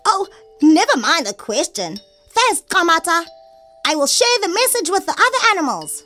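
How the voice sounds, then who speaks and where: A woman with a South African accent playing an excitable, cheeky female bunny in children's comedy audio theatre. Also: very high-pitched, moderate pace, soft background music, heavily acted. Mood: curious, cheeky. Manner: exclaiming, theatrical, playful.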